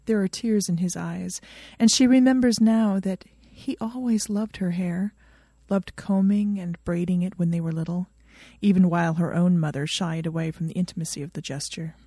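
A woman's voice: on the low side, steady tone, normal speed, hardly any pauses, mellow, breathy edge, no echo, clean studio sound. A woman reading a story aloud as scripted narration. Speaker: fan fiction reader.

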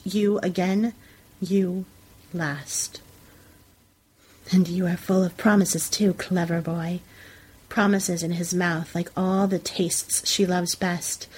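A woman narrates literary fiction for a podcast. Her voice sits a little low and has a husky grain. The delivery is scripted storytelling. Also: rough recording.

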